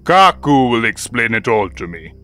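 A man's voice: mid-range voice, sing-song, moderate pace, bright, forceful tone, faint music behind. A man voicing a haughty, menacing lion king in a cartoon, sly and mockingly polite. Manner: mocking, drawling mock politeness. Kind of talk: cartoon voice acting.

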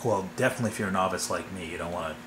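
Low-voiced man explaining at his workbench. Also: racing, roomy sound, a little hiss or hum. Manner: relaxed, rambling, frequent "okay?" check-ins. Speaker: hobby model builder. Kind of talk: casual workshop tutorial. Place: workbench in a home workshop.

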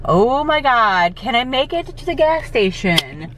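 A woman in her forties, sick and congested with a scratchy throat, chatting casually to a handheld camera and clearing her throat often. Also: on the high side, sing-song, measured pace, fairly bright tone. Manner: rambling, filler-heavy, frequent throat clearing. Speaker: vlogger. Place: parked car, then a home kitchen. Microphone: handheld camera close to the face. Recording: car and outdoor background noise.

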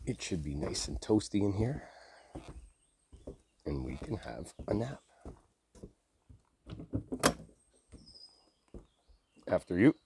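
A man playing an affectionate, easygoing boyfriend in an ASMR roleplay, speaking softly and drowsily in an intimate, unhurried way. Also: sits low, very animated, slight background noise, full character voice. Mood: cozy, content.

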